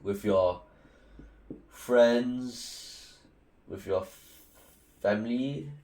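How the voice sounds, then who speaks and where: A man's voice: medium pitch, extremely slow, warm tone, low-quality recording. A young man from Singapore with a Singaporean English accent, giving casual, rambling study advice full of fillers and restarts. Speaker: student tutor.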